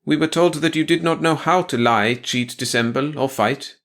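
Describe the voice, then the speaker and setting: A courteous man of science, acted by a male narrator in a sci-fi audiobook. He is composed and formal, choosing measured words at a raised pitch. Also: fairly bright tone. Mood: rueful.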